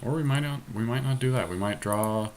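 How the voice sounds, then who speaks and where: A man's voice: medium pitch, fairly quick, a little mellow. A man hosting a podcast, thinking aloud through his options as he gives casual commentary on a card game he is playing.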